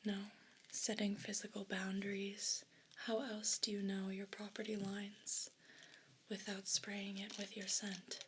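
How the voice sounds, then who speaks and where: Woman as a slow, whispering, haughty evil cat. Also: medium pitch, monotone, fairly bright tone, a little hiss or hum, close to an everyday voice. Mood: condescending. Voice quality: breathy, unvoiced whisper. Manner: slow, deliberate, condescending, disdainful. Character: haughty, possessive, complaining evil pet cat. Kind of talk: ASMR role play, whispered monologue.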